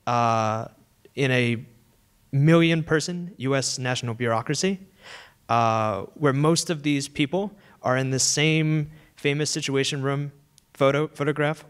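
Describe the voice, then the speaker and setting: A man's voice: medium pitch, fairly bright tone. Young man giving a fluent keynote talk. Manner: fluent, measured, gestures while talking. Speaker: co-author and keynote speaker. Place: large auditorium stage, seated. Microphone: handheld microphone.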